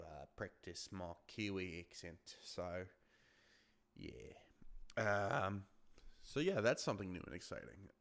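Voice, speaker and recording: A man's voice: low-pitched, fairly bright tone. A young man hosting a solo podcast, chatting casually and rambling with many fillers and restarts. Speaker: podcast host.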